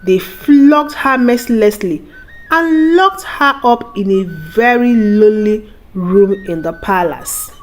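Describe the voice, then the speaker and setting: A woman narrating a scripted folktale in a dramatic, unhurried storytelling manner. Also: mid-range voice, animated. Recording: music bed under the voice. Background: music.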